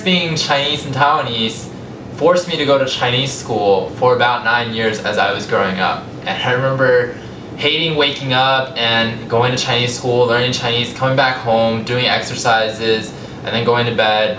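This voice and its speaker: Young male presenter, American accent, conversational storytelling with measured pauses; roomy, noisy recording. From California, United States. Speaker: language company founder giving a talk. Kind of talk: public talk, personal storytelling. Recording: echoey, distant mic, rumbling noisy room.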